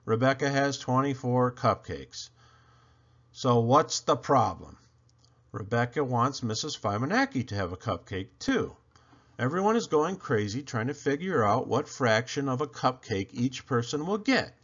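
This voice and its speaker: A man reading a children's picture book aloud in an animated storyteller style, with dramatic, emphatic phrasing and playful swoops. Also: mid-range voice, unhurried, highs cut off.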